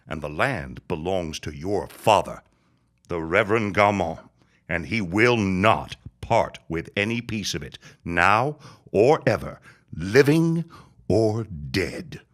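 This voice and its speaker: A man's voice: deep voice, fairly bright tone, full character voice. A man playing a bitter, vengeful old dying reverend in a period audio drama, speaking in a grandiose, scornful way. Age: older. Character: bitter, vengeful, near-death old reverend and landowner father.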